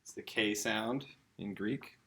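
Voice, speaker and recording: A middle-aged man with a fairly low voice teaches a laid-back video lesson. He talks in a chatty, easygoing way and stops to fix his own mistakes as he writes on a board. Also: takes it slowly. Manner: relaxed and chatty, self-correcting while writing on a board. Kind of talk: casual classroom video lesson.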